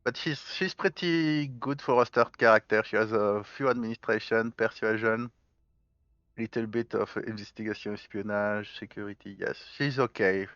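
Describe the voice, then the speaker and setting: A man's voice: lowish voice, normal speed, a bit bright, clear, resonant tone, no room sound, lo-fi sound. A man with a mixed French, Spanish and Galician accent, giving let's play commentary and explaining his choices step by step as he thinks aloud. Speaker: gaming channel host.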